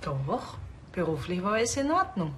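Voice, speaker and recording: An actress with a Bavarian accent playing a chatty small-town beauty salon owner in a TV crime comedy. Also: female voice, fairly low pitch, sing-song, measured pace, slight background noise. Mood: matter-of-fact.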